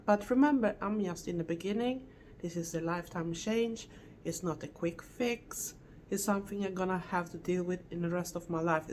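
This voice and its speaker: A middle-aged woman from Sweden with a Swedish accent, giving an earnest, halting vlog update with self-corrections and non-native phrasing. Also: medium pitch, a little hiss or hum. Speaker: vlogger. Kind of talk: casual health update vlog.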